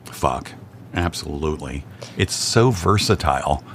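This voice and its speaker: A man's voice: a bass voice, animated, measured pace, fairly bright tone, slightly rough. An older man chatting as a guest, with dry, wry humor and frequent hesitant restarts. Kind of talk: casual chat.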